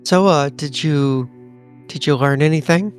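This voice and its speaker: A man's voice: a little high, a little slow, soft background music, lightly acted. A man voicing an eccentric, blithe male agent in a horror roleplaying game, with a theatrical manner. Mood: dry, knowing. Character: eccentric male agent who sings blithely under fire. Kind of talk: in-character tabletop roleplay.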